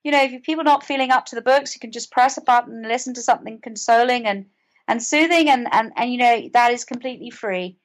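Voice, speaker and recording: Higher-pitched British woman in interview. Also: quick pace. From United Kingdom. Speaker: podcast guest, author and mental health advocate. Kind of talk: conversational interview.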